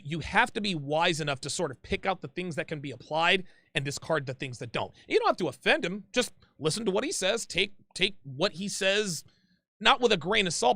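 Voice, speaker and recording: A man with a fairly high voice hosts a call-in advice show in a loose, chatty way. He repeats words, restarts his sentences and keeps calling people "dude". The sound is close and dry, with no room around it. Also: bright, forceful tone, no echo. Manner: casual, repeats himself, calls people "dude".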